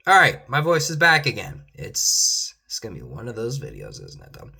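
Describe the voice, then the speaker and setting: A man's voice: medium pitch, huge pitch swings, normal speed, creaky, sharp tone, breathy edge. Rambling young man predicting football games. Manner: rambling, repeats "though" at line ends, many restarts. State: losing his voice at times. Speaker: sports commentator.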